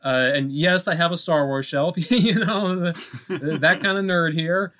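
A man co-hosting a podcast in a casual film discussion, talking haltingly with repeated words and lots of filler phrases. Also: a little high, a bit bright. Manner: halting, repetitive, peppered with "like" and "you know". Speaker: podcast co-host.

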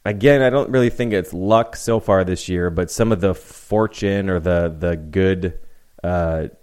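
A man co-hosting a casual sports podcast, relaxed and given to teasing banter. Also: low voice, unhurried, clear, resonant tone. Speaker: podcast co-host.

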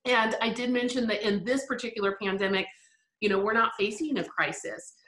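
Animated woman in an echoey room. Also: a little high, fairly quick. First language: English. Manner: animated, laughs often, reflective. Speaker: guest. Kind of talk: interview conversation.

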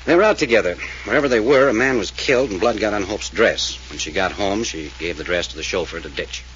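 An actor playing a wisecracking male amateur detective in a 1940s radio drama, questioning in a brisk, wry, probing manner. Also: on the low side, a bit bright, close to an everyday voice. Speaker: detective figure. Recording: dull old radio recording with faint hiss.

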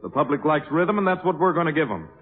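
An actor playing a brisk, strict, irritable dance-band leader, curt and commanding, in a 1950s radio drama. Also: male voice, on the high side, sing-song. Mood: businesslike. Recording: tinny old radio broadcast, loud music.

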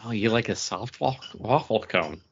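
A man's voice: on the low side, lively intonation, moderate pace, slight background noise. A man co-hosting a podcast with casual, informal banter. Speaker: podcast co-host. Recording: slightly dull, lo-fi podcast audio.

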